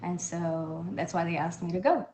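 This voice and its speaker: Easygoing Canadian woman guest, low voice. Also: fairly even intonation, normal speed, warm tone, a little hiss or hum, low-quality recording. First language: Portuguese. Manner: easygoing, modest, with fillers. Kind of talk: casual interview.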